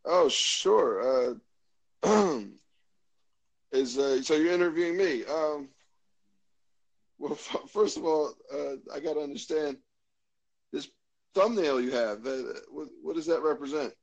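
American male guest, slightly high pitch, halting and rambling. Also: gravelly, poor audio. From Maryland, USA. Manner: rambling, halting, lots of fillers and restarts. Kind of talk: casual interview chat.

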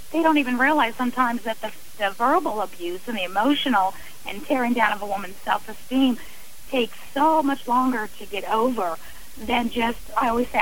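Plainspoken woman guest, somewhat high. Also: poor audio. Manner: candid, plainspoken, restarts phrases. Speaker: guest author. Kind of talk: phone-in interview.

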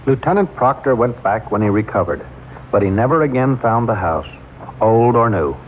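A man's voice: mid-range voice, lively intonation, moderate pace, phone-quality sound, soft background music. A man narrating an old-time radio mystery in a grave storytelling manner, on a thin, noisy vintage recording.

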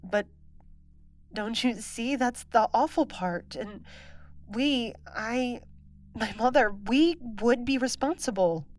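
Slow, hesitant, troubled young woman. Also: a little high, soft background music. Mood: distressed, guilty. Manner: hesitant, searching. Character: troubled, questioning young woman raised on moon base. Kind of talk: science-fiction audio drama dialogue.

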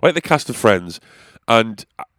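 A man's voice: on the low side, big pitch swings, normal speed, fairly bright tone, no room sound, clean studio sound. A man hosting his own podcast, chatting in a rambling, sweary, self-deprecating way with a stuffy nose. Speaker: podcast host, comedian and musician. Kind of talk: casual rambling monologue.

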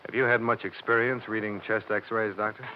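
An actor playing a cool, persistent insurance investigator, clipped and matter-of-fact, in a 1950s radio detective drama. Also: male voice, lowish voice, little pitch movement, brisk, lightly acted. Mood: pressing, polite. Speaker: lead character and narrator. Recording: muffled, hissy 1950s radio recording.

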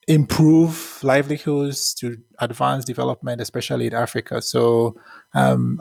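Gravelly man from Ghana, measured. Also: medium pitch, no echo. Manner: measured, thoughtful, with frequent fillers. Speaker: tech company founder. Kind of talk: podcast interview answers.

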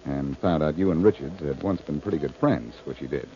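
A cocksure, wisecracking sleuth of 1940s radio. His voice is low and mellow. It comes through a muffled, noisy vintage recording. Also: brisk. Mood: serious, confiding. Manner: wisecracking, self-assured. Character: wry, confident amateur sleuth, adult man.

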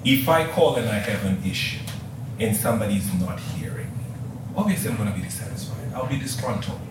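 A man's voice: on the low side, brisk. Tanzanian man coaching in an echoey room. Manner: animated, emphatic teaching. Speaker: customer service coach. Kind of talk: coaching talk. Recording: echoey room, distant mic, noisy.

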